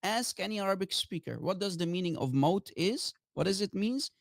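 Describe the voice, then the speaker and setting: A man's voice: sits high, lively intonation, a little fast, gravelly, dry recording, clean studio sound. A man from Sudan arguing in a heated online debate, insistent, who keeps interrupting with "just a second" over a video call.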